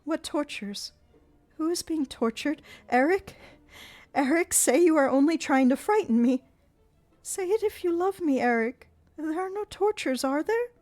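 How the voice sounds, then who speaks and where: A woman's voice: animated, a little slow, darkish tone. A woman doing a frightened pleading girl. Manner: high, pleading, breathless. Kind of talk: audiobook character voice.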